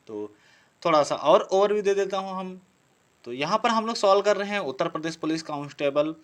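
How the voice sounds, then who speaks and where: A male teacher with a slightly high voice. He works through practice questions for exam students. His delivery is explanatory, often posing a question and then answering it himself. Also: a bit bright. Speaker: teacher on an exam-prep class channel.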